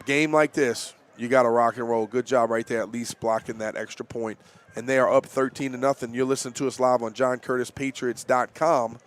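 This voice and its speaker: A man with a stuffy, nasal voice from a cold, giving coach-like color commentary on a high school football game from the press box. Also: fairly even intonation, a little fast. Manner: coach-like, folksy analysis.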